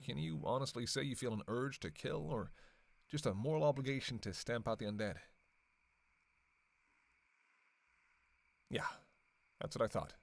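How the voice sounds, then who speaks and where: A man's voice: medium pitch, animated, brisk, gravelly, no echo. A man playing a sardonic, flirtatious, long-lived vampire killer in a roleplay audio drama, with casual, teasing, sweary banter. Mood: defensive, musing. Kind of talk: casual roleplay audio acting, one side of a dialogue.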